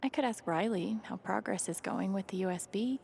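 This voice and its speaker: A woman playing a gentle, thoughtful young game heroine in a soft, understated, naturalistic way. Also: mid-range voice, fairly quick, gravelly, slight background noise, natural voice. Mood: curious. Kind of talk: naturalistic video game acting.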